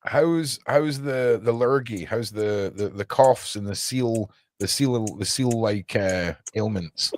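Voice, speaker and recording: A man's voice: lowish voice, slow pace, hardly any pauses, darkish tone. A British man hosting a casual online panel, talking in a rambling, repetitive way and arguing jokingly. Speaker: host of an online panel show. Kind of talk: casual chat.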